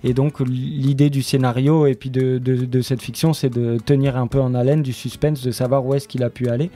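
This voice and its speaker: A man speaking haltingly as a guest in a spontaneous radio interview. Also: mid-range voice, level tone, dark tone. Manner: hesitant, halting delivery. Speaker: studio member presenting an audio fiction series, interview guest.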